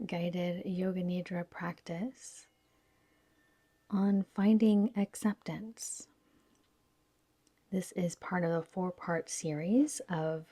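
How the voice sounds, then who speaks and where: A woman with a soft, whispery voice gently guiding a yoga nidra relaxation in a soothing, unhurried manner. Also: on the low side, husky, no room sound. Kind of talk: guided relaxation meditation.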